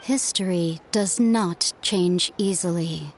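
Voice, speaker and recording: A woman playing an enigmatic, serene figure in a video game, speaking in a measured, solemn way. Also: on the low side, loud music behind the voice. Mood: calm, cryptic. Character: enigmatic, serene female voice speaking cryptic wisdom. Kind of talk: scripted video game dialogue.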